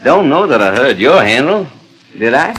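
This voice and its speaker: A man's voice: medium pitch, sing-song, normal speed, a bit bright, poor audio. A man playing a guileless, folksy young gold prospector with an American accent in a 1940s radio western, on an old disc recording. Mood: easygoing. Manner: folksy, boyishly eager.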